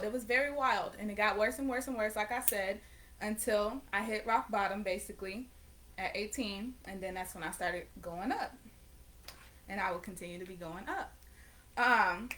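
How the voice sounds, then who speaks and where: A woman's voice: mid-range voice, sharp tone. A woman of nineteen talking casually to her viewers, rambling and correcting herself as she goes. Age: late teens. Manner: rambling, self-correcting, conversational. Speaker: vlogger and painter. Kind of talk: casual chat to camera.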